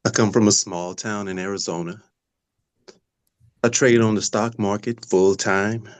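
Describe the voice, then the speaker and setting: A man acts a sincere, easygoing date in a drama scene. He speaks slowly in a low voice, close and dry, with hesitant pauses and a wistful air. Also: fairly even intonation, no echo, natural voice. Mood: relaxed, wistful. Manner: hesitant, unhurried. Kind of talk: dramatic table read.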